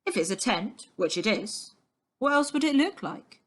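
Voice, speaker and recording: A woman's voice: on the high side, sing-song, normal speed. A woman voicing a matter-of-fact fairground tent man in a storybook, quizzing his listener.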